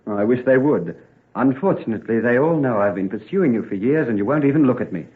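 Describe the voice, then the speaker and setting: An actor playing a lovelorn, jealous gentleman suitor, earnest and impassioned, in an old-time radio drama. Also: mid-range voice, lively intonation, fast, natural voice. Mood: playful, pleading. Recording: tinny, narrow old radio recording.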